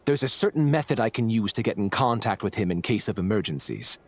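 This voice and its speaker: A man's voice: lowish voice, animated, fast talker, no room sound. A man voicing a nervous male go-between turned double agent in a video game, who explains at length in a hesitant, slightly uneasy way. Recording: game audio with faint music underneath.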